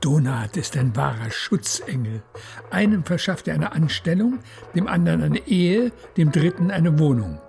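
A woman's voice: sits low, sing-song, normal speed, vocal fry, warm tone, faint music behind. A woman narrating a radio play in scripted literary prose.

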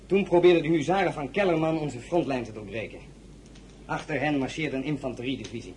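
An actor playing a proud, loyal count serving as a royal military aide, formal and earnest, in an audio drama. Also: male voice, on the high side, brisk, darkish tone, slight background noise, close to an everyday voice. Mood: solemn, reporting. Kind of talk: Dutch audio drama acting.

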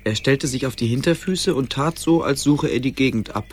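A man's voice: mid-range voice, normal speed. A man narrating a scripted fantasy radio play as its storyteller. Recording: clear background ambience noise.

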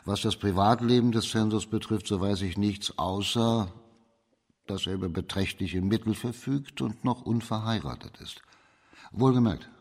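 Deep, husky judge in a reverberant hall. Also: male voice, moderate pace. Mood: grave, businesslike. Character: authoritative judge on a secret investigation, measured and shrewd. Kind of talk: German radio crime drama acting.

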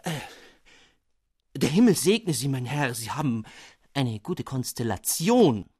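A man's voice: medium pitch, big pitch swings, unhurried, dark tone, slightly rough. A man playing a gaunt, eccentric old nobleman lost in stargazing dreams, speaking in a distracted, startled way. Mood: startled, courteous.

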